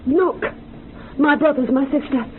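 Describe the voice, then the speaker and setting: A woman's voice: high-pitched, a little slow. A woman playing an emotional, doting and reverent yoga teacher with a theatrical manner in a radio drama, on a tinny recording. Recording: tinny band-limited radio recording, faint noise.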